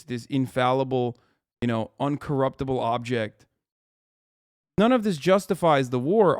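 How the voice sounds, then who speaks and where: A man's voice: medium pitch, darkish tone, smooth, no echo, clean studio sound. A man streaming live, reacting to a news video with heated, profane rants and emphatic repetition.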